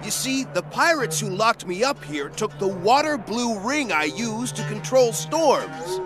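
A man's voice: high-pitched, sing-song, measured pace. A man voicing a boastful, short-tempered echidna adventurer with pompous, streetwise bluster in a video game. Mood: cocky. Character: boastful, gruff-tempered male cartoon echidna playing an adventurer. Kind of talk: video game cutscene acting. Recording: loud music under the voice.

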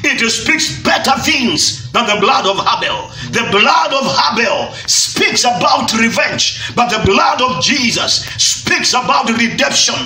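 A middle-aged Kenyan man preaching a shouted Easter sermon, stretching his key words as he builds. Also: extremely high pitch, very animated, unhurried, few pauses, recorded from a distance. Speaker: pastor. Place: church stage, indoors. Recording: echoey room from afar, music behind.

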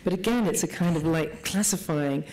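A woman speaking on a panel with wry, animated asides. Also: fairly low pitch, normal speed, rough recording. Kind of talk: panel discussion.

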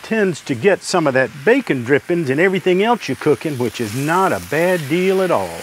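A man's voice: a little high, sing-song, normal speed, a little hiss or hum. An older man hosting a cooking demonstration, talking in an easygoing, homespun way while he works at a griddle on an outdoor deck. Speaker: cooking show host. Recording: outdoor recording with loud griddle sizzle.

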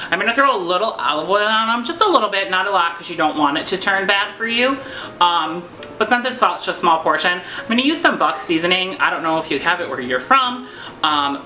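A man's voice: high-pitched, pushed and bright, a little hiss or hum. A young man from New York chatting casually about meal prep in his home kitchen, rambling with many fillers and self-deprecating asides. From New York, USA. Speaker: vlogger. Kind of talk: casual home cooking vlog. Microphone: fixed camera at close range. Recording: echoey kitchen with faint music.

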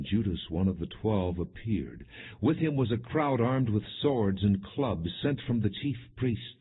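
A man reading scripture as an audiobook narrator, in a solemn, measured, expressive way. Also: low voice, breathy edge. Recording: muffled, narrow phone-like sound.

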